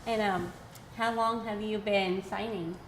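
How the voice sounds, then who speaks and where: A woman's voice: medium pitch, steady tone, unhurried, reverberant, slight background noise. A woman in her thirties interviewing actors, asking simple questions with many "cool"s and "mm-hmm"s. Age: 30s.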